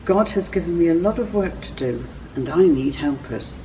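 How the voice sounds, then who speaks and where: Woman reading as a gentle teacher, mellow voice. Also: on the low side, expressive, moderate pace, distant mic, slight background noise, lightly acted, poor audio. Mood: reassuring. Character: Jesus, gentle, inviting male teacher in children's Bible story.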